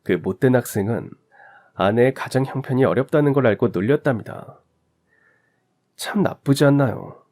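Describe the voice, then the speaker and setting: A man narrating a scripted family story from the husband's point of view. His delivery is calm and sympathetic, at a medium pitch typical for a man.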